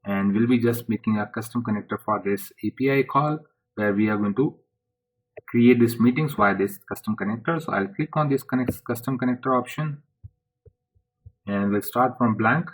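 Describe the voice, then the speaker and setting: A man presenting a software tutorial step by step in an informal way, speaking haltingly in short phrases. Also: medium pitch, slightly rough, poor audio.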